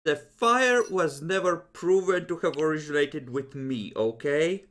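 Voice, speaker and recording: A man's voice: a little high, a little slow, a bit bright. A man talking casually and wryly as a player building his character for a tabletop role-playing game, swearing now and then.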